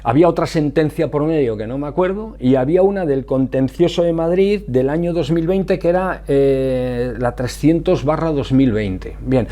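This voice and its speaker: Higher-pitched Spanish man explaining things. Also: normal speed, few pauses, warm tone. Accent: Spanish from Spain. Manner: didactic, emphatic, asks and answers own questions. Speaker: motorcycle safety vlogger. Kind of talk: informal explanatory monologue to viewers.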